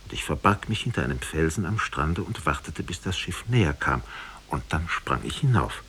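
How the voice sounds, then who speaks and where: A man's voice: very deep voice, lively intonation, moderate pace, a bit bright, a little hiss or hum. A man narrating a fairy tale in solemn, dramatic 1950s radio style with formal diction.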